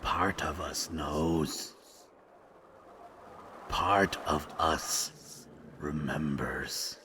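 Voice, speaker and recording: A man voicing a fragmented monster in an audio drama, a menacing yet regretful servant who talks haltingly and ominously. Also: rumbling low, noisy background, exaggerated voice. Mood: somber, ominous. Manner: slow, halting, ominous, speaks of himself as "we". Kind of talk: scripted roleplay audio drama.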